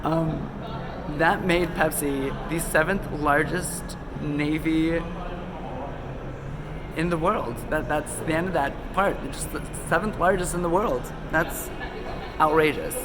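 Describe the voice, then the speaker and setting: A man's voice: on the high side, slow pace, noisy background. A man hosting a conspiracy video, talking casually with lots of ums, a little sick and losing his voice. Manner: loose, chatty, many ums and self-corrections. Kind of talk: casual rambling talk to camera. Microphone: phone camera.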